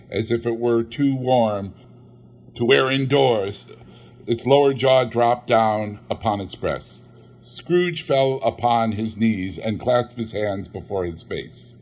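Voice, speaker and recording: A man reading a classic novel aloud as an audiobook narrator, in a measured, steady way. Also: fairly low pitch.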